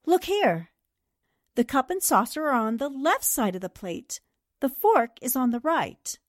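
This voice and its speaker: An actress playing a sharp, observant, inquisitive newspaper reporter in a 1940s-style radio drama. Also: female voice, high voice, big pitch swings, measured pace. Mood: observant, probing. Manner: probing, precise, questioning.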